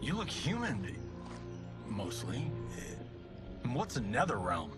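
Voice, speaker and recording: An actor playing a cocky, flippant movie star turned reluctant fighter in a video game cutscene. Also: male voice, medium pitch, wildly swooping pitch, slow, loud music behind the voice. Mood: wry, incredulous. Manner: flippant, slangy. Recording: loud game soundtrack behind.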